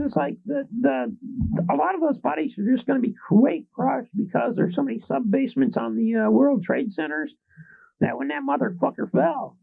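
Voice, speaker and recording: A man's voice: very high-pitched, vocal fry, breathy edge, no echo, phone-quality sound. A man hosting a public access call-in show, chatting casually with crude, joking banter. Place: small public access TV studio.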